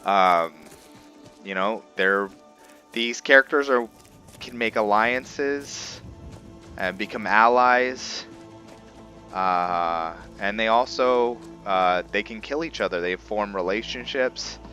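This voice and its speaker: Low, slow-talking man narrating gameplay. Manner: halting, thinking aloud. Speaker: gameplay host, roleplayer. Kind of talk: casual game commentary. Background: soft background game music.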